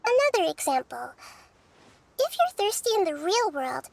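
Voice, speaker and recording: A woman voicing a wise, composed child deity in a video game, gently curious and explaining things thoughtfully and articulately. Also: very high voice, very animated, normal speed, creaky, a little hiss or hum, exaggerated voice. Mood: explanatory. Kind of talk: voice-acted game story dialogue.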